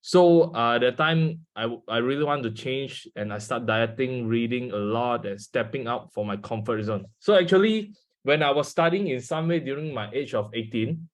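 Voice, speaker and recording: Young Malaysian man, hesitant speaker. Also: medium pitch. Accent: Malaysian English. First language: Mandarin. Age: 20s. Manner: hesitant, many fillers, speaks to an audience. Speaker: guest speaker. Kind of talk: online seminar talk. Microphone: video call.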